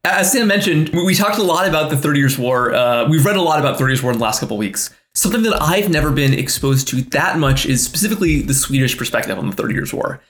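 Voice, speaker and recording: A man's voice: on the high side, expressive, quick pace, hardly any pauses, a bit bright. A man co-hosting a history podcast who talks in a thoughtful, conversational way and hedges with fillers. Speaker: podcast co-host.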